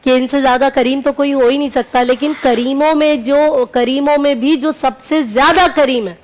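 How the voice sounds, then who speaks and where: A woman's voice: sits high, steady tone, normal speed. A woman scholar giving religious teaching on a talk show, explaining point by point and repeating key phrases. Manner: didactic, explaining in numbered points with repetition. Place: TV broadcast studio.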